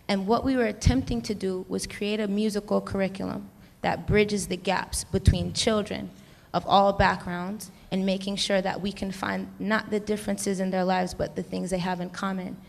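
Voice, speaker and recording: American woman panelist, earnest and rambling, gravel in the voice, recorded in an echoing hall. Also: mid-range voice, flat delivery, normal speed. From United States. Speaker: panelist, music educator. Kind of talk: reflective panel discussion. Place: convention hall stage. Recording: long reverb of a large hall.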